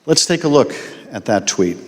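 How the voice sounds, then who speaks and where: A man questioning a witness at a formal hearing in a measured, deliberate way. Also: mid-range voice, sing-song. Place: large hearing room. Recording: long hall reverb.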